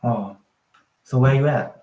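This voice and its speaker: A man's voice: medium pitch, measured pace. A man, chatting casually over a roomy video call. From Thailand. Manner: relaxed, chatty, many fillers like "like" and "you know". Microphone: phone on a video call, no external mic.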